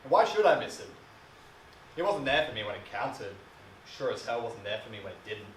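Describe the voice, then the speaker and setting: Male actor as bitter, wounded adult son: brooding dramatic monologue, halting and emotional, echoey, away from the mic. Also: on the low side, very animated. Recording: distant mic, echoey room, faint hiss.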